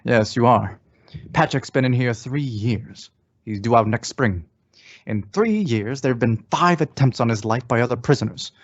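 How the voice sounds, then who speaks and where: A man's voice: mid-range voice, lively intonation, normal speed, close to an everyday voice. A man playing a gloomy, overworked prison warden in an old-time radio drama, blunt and world-weary. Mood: weary, exasperated. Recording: muffled, band-limited audio.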